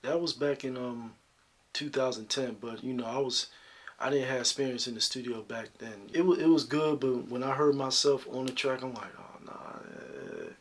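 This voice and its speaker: A man talking in a deep, slightly husky voice. He answers interview questions softly and at an easy pace, with lots of fillers. His pitch sits in the middle for a man. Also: moderate pace. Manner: soft-spoken, relaxed, with many fillers. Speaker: local singer guest.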